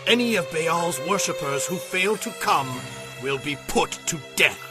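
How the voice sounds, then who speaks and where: A man's voice: fairly high pitch, expressive, a little slow, music in the background. An actor playing a commanding, ruthless warrior king in a dramatized audio Bible, with emphatic, declamatory delivery.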